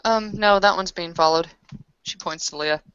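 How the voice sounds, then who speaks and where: A woman's voice: on the low side, normal speed, a bit bright, smooth, no room sound. A woman playing a tabletop roleplaying game, casually narrating what her character does. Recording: dull lo-fi sound.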